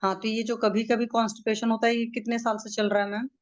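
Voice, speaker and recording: Woman, slightly high voice, reassuring, questioning a patient, dull call audio. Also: level tone, quick pace. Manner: friendly, reassuring, asks short checking questions. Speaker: Ayurvedic doctor taking a case history. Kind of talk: casual consultation questioning. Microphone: video call.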